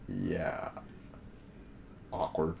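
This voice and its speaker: A man's voice: extremely slow, a little mellow, a little hiss or hum. A man live-streaming a visual novel, reading it aloud and reacting with exasperated asides over game music. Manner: exasperated, giggly asides between read lines.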